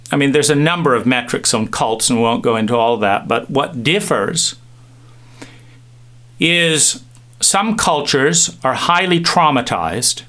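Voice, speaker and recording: A middle-aged man giving a reflective talk, musing with pauses and restarts as he tells stories. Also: mid-range voice, bright, forceful tone. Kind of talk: reflective monologue with anecdotes.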